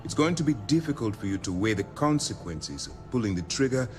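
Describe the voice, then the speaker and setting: An actor playing a stern, measured military commander in a video game, speaking with grave, deliberate authority. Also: male voice, lowish voice, very animated, a little mellow. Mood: firm. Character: stern, measured male military commander briefing an undercover operative. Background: background music.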